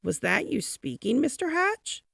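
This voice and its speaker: A woman's voice: sits high, sing-song, measured pace, gravelly, a bit bright, husky, dry recording, clean studio sound, voice pushed up, full character voice. A woman voicing a busy, unwell male newsstand seller in a children's storybook, plain-spoken and put-upon. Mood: astonished. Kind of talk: storybook character reading.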